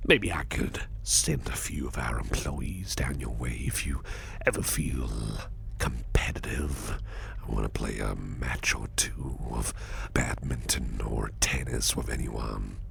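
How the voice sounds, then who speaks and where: A man playing an attentive, softly flirtatious businessman boss in a whispered ASMR roleplay, reassuring and doting, with a breathy voice. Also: a little hiss or hum, heavily acted. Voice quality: breathy whisper, very low and gravelly. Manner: unhurried, reassuring, personal attention to listener.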